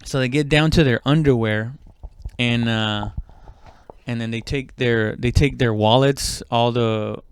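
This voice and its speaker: A man's voice: medium pitch, fairly even intonation, a little slow, clear, resonant tone. A man in his thirties from Mexico, a comedian telling a story casually, swearing and interrupting himself as he rambles. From Mexico, moved to the US at seven. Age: 30s. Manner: profane, rambling, self-interrupting. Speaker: stand-up comedian.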